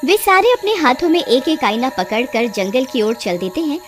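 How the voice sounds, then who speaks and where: A woman tells a cartoon story in a high voice that rises and falls. Music plays under her words. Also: lively intonation, moderate pace. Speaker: narrator. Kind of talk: storybook narration. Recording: music behind the voice.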